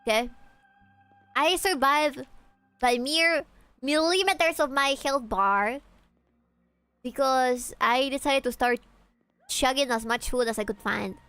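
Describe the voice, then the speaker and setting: A young woman from Peru, a native Spanish speaker, chats through a game stream in a fairly high voice. She is animated and swears freely, and soft game music plays underneath. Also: slow, long pauses, smooth, dry recording. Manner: chatty and animated, swears freely, reacts to chat. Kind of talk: casual gaming stream chatter.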